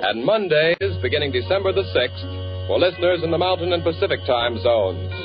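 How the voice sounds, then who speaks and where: Old radio announcer guy, formal n careful, slightly high voice, muffled sound, music under. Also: very animated, brisk. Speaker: network announcer. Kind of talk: 1940s radio scripted announcement. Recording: muffled vintage 1940s radio broadcast.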